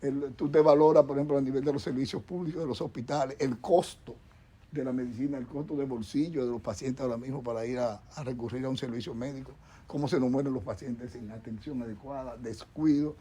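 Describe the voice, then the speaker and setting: A man's voice: mid-range voice, steady tone, fast talker, mellow, husky. A middle-aged man with a Dominican accent, a doctor speaking as a guest on a talk show panel, rambling with many restarts and repeated words. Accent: Dominican Spanish. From Dominican Republic.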